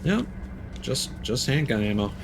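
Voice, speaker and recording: A man's voice: mid-range voice, very animated, measured pace, creaky, background noise. A man giving casual live video game commentary, thinking aloud and swearing now and then.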